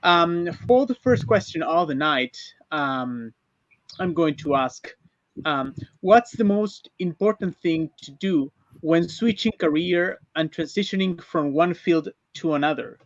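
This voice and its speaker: A man from Argentina hosts an online panel in a halting way. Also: on the high side, animated, dry recording. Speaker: panel host. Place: converted school bus, video call. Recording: muffled lo-fi online call.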